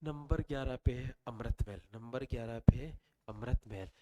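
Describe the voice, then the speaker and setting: Guy teaching exam revision, bit of a sore throat, middle-pitched voice, keeps asking then answering his own questions. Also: moderate pace, very noisy. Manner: quizzing listeners with repeated questions and answers. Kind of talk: online revision lecture.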